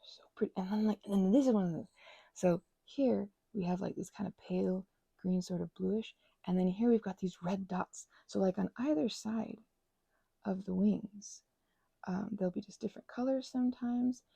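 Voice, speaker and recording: A woman making soft-spoken ASMR at home, rambling as she crafts, full of fillers and dipping into whispers now and then. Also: medium pitch, normal speed, creaky, breathy edge, no echo. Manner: meandering, filler-heavy, drifting into whispers. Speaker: ASMR creator. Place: indoors at home.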